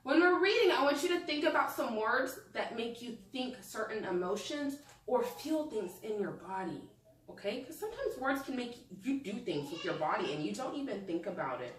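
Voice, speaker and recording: A woman teacher reading a picture book aloud to young children, pausing to prompt them with questions and think out loud. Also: on the high side, sing-song. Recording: echoey room, distant microphone.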